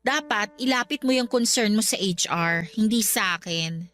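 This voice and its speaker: A woman's voice: mid-range voice, normal speed, a bit bright, faint music behind, natural voice. An actress playing a haughty, threatening female office superior in a Filipino radio drama, dismissive and condescending.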